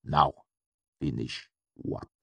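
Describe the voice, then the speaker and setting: Man acting shadowy dark lord, deep, husky, cool, patient, menacing, fantasy audiobook. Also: no echo, exaggerated voice. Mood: cool, edged. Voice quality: cool, soft. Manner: calm, polite, deliberate menace.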